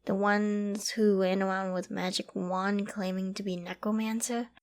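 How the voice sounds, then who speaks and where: A woman acting a clingy, secretly obsessive young apocalypse survivor in a dramatic ASMR audio roleplay, with an emotional, halting, stammering delivery. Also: mid-range voice, flat delivery, lightly acted. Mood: nostalgic, lighter. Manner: emotional, halting and stammering, often dropping to whispers and sighs.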